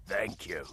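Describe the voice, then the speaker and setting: An actor playing a shady merchant in a horror video game, with a gravelly, rasping voice and drawn-out sly sales patter. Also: male voice, fairly low pitch, full character voice. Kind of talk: video game character acting.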